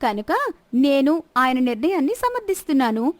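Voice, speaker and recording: A woman playing a gentle, sensible young lady of a royal palace in a dramatized story, speaking politely and earnestly. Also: sits high, very animated, moderate pace, no echo. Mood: earnest, respectful. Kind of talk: dramatized moral story acting.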